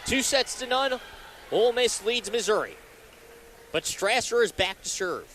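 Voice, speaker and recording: A man's voice: high voice, big pitch swings, a little slow, fairly bright tone, a little hiss or hum. A young man calling live volleyball play-by-play as a radio announcer.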